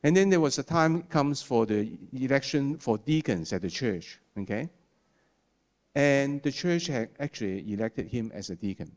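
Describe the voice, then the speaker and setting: A man who is not a native English speaker preaching a sermon, talking in a halting way with many restarts and fillers and a habit of ending points with "okay?". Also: mid-range voice, animated, a little mellow.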